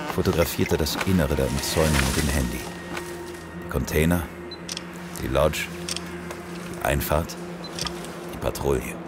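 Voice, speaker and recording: A man playing a cool, defiant police detective in an audio drama, speaking in a dry, deadpan manner. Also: extremely low pitch. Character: cool, defiant male police detective narrating his case. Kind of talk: first-person narration and dialogue in German audio drama.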